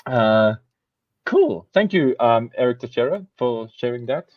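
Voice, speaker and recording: A man hosting a podcast, talking in a loose, rambling way that keeps circling back on itself. His voice sits at an ordinary pitch. The sound is a little muffled and very dry. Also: sing-song, unhurried, no room sound. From South Africa. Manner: rambling, self-correcting, laughs often. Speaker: podcast host. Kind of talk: casual podcast chat.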